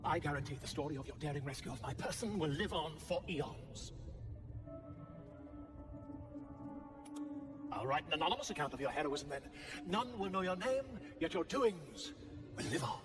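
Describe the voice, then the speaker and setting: An actor playing a pompous, theatrical, wheedling author in a video game, florid and grandiose. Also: male voice, fairly high pitch, lively intonation, a little fast, in a large hall, distant mic, music in the background, natural voice.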